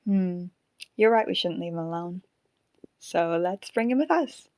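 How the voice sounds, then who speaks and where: A woman voicing a curious, dryly teasing haunted female marionette in an audio drama, with measured delivery. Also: lowish voice, lively intonation, darkish tone, no room sound. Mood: disapproving.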